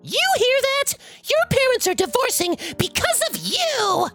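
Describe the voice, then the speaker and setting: A woman playing an enraged, spiteful mother in an acted storytime, scolding her child in a shrill, drawn-out way. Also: huge pitch swings, fairly bright tone, faint music behind, exaggerated voice. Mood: furious, accusing.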